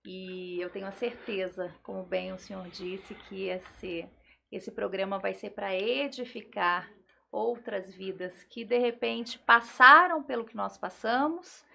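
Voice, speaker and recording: A woman with a Carioca Brazilian accent giving personal testimony as a podcast guest, often pausing and checking for agreement. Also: mid-range voice, gravelly, sharp tone, a little hiss or hum. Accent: Carioca Brazilian Portuguese. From Rio de Janeiro, Brazil.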